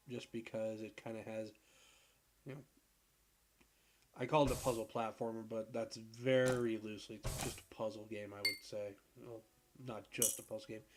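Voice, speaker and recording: A man with a naturally deep voice, roughened by a cold, talks through a puzzle game in a loose, rambling way. He clears his throat now and then. A faint hiss and some clicks sit in the background. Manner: relaxed, rambling, clears throat and laughs at himself. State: sick, hoarse from a cold. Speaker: gaming video creator. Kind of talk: casual gameplay commentary.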